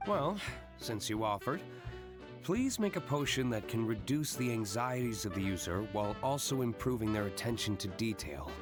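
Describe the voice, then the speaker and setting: An actor playing a composed, serious young gentleman winery owner in a video game, measured, formal and reserved. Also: medium pitch, lively intonation, lightly acted. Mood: composed, explaining. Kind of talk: video game cutscene dialogue. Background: game music.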